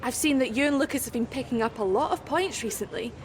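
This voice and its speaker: An actress playing a brisk, professional female motorsport interviewer in a video game. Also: fairly high pitch, natural voice. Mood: inquisitive. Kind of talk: scripted post-race interview in a video game.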